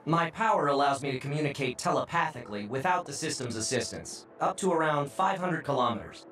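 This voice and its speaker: A man's voice: on the high side, lively intonation, a little fast, fairly bright tone. A man playing a practical young military operator in an anime game, with an echoing telepathic effect. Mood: informative. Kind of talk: English anime-game voice acting. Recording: echoey, distant, faint music behind.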